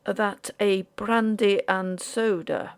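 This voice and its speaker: A woman voicing a calm, curt gentleman investigator in a ghost story audiobook, quiet and understated, with a hard edge. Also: mid-range voice, slow, smooth. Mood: quiet, grave. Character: Trevennan, calm curt civilian man investigating a haunted house.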